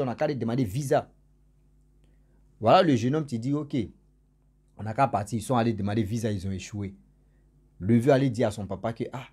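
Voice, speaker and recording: A man with a cold and a cough, telling a story to his followers in a casual spoken way. He repeats words for emphasis and swings between loud stressed words and endings that fade low. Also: breathy edge. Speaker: storyteller for his channel's subscribers.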